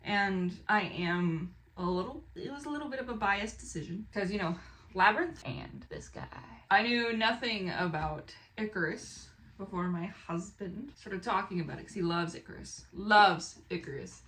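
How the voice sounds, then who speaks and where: A woman vlogger chatting casually and excitedly to the camera, animated and rambling with expressive asides. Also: mid-range voice, normal speed, poor audio. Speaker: book haul vlogger and fiction author.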